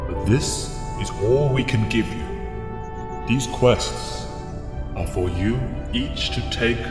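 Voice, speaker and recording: A man playing a solemn alien mentor in an audio drama, speaking formally and gravely with booming reverb. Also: slow pace. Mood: solemn, commanding. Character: solemn male alien mentor commanding young heroes. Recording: long echoing reverb, music behind.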